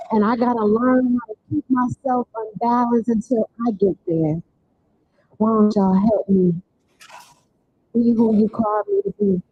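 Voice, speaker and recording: A woman giving an earnest, preacherly personal testimony as a motivational speaker at a live community event. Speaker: event speaker, bereaved mother. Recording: dull live recording, faint rumbling noise.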